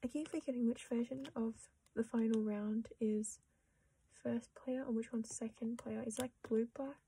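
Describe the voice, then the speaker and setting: A young Australian woman chatting quietly to camera while sorting her collection, often dropping into a half-whisper and poking fun at herself. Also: fairly high pitch, fairly even intonation, normal speed, a little hiss or hum, rough recording. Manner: soft-spoken, often half-whispering, self-deprecating. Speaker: collecting vlogger. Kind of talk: casual chat while sorting cards.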